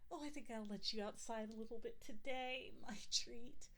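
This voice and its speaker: A woman's voice: fairly high pitch, moderate pace, pushed and bright. A woman playing a possessive, doting girlfriend who game-masters a fantasy tabletop story in an audio roleplay, gushing and insistent. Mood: pleading, gushing. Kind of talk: audio roleplay, ASMR, playful narration.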